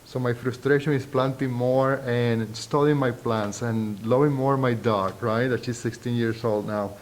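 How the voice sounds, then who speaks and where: A middle-aged man and native Spanish speaker with a thick Puerto Rican accent, giving reflective, halting, deliberate interview answers as a university professor. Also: fairly even intonation. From Puerto Rico. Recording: noisy, low rumble behind.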